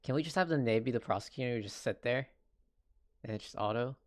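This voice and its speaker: A man's voice: mid-range voice, quick pace. A man giving casual live commentary as a game streamer. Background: game music.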